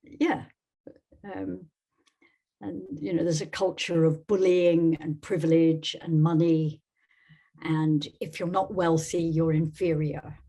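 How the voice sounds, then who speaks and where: Woman from Scotland, podcast guest. Slightly husky voice, thoughtful and halting delivery. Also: low voice, steady tone, warm tone. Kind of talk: conversational interview answers.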